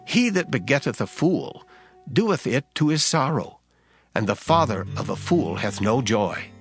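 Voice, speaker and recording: A man narrating scripture in a dramatized King James style, with solemn, measured, emphatic delivery. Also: medium pitch, very animated, husky. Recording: music under the voice. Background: background music.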